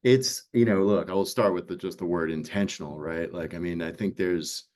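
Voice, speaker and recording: Middle-aged male producer, deep voice, emphatic storyteller in casual panel talk. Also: normal speed, darkish tone. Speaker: audiobook producer.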